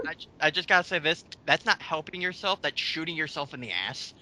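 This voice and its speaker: A man with a high, animated voice that swings around as he talks. He is casual and mocking, delivering blunt, sarcastic commentary with plenty of swearing. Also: fairly quick, rough recording.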